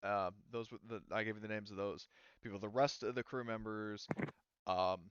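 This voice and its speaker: A man with a smooth, lowish voice narrates a tabletop game as game master. He feels his way through the story casually and haltingly. The sound is dry and slightly dull. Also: steady tone, dry recording.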